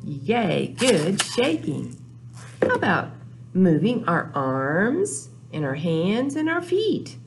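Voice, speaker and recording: Older woman leading children's storytime, lively pitch. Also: slow, vocal fry, music in the background. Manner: simple phrases with repetition, addressing young children. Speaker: children's librarian and storytime host. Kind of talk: children's storytime with rhymes and sing-along. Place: small indoor room, recorded for video.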